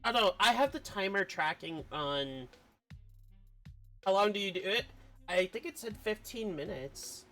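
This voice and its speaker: A streamer with a medium-pitched voice chats casually while playing a game. The delivery is giggly, rambling and easily flustered, with game music playing faintly behind. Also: animated, a little slow, fairly bright tone. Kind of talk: casual live-stream commentary on a video game.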